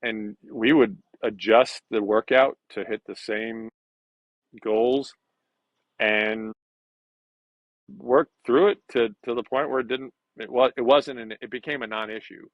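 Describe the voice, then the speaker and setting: A man in his fifties talking as an interview guest, in a halting conversational way with repeated words. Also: medium pitch, steady tone, dry recording. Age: 50s. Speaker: podcast guest. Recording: dull lo-fi call audio.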